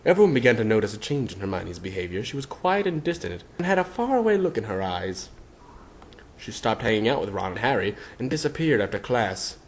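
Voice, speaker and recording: A man's voice: fairly low pitch, big pitch swings, a little fast, a little hiss or hum, rough recording. A man reading a scripted story as narrator, in a theatrical, mock-serious style. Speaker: narrator of a fan fiction reading.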